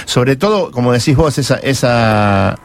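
A man's voice: medium pitch, lively intonation, unhurried. A man hosting a conversational radio interview, leading in and out of segments.

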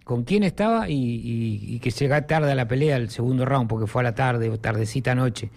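A man's voice: medium pitch, moderate pace, vocal fry, darkish tone. A middle-aged Argentine man hosting a sports radio show in a rambling, conversational way.

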